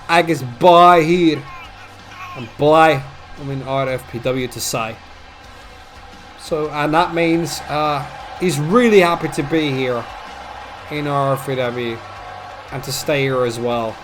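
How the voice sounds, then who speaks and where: Guy doing wrestling announcing, higher voice, hams it up loud then drops low, bit of music under it. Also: measured pace, long pauses. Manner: theatrical, swings between booming shouts and low asides. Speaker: wrestling e-fed announcer and commentator. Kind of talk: play-by-play ring announcing and commentary.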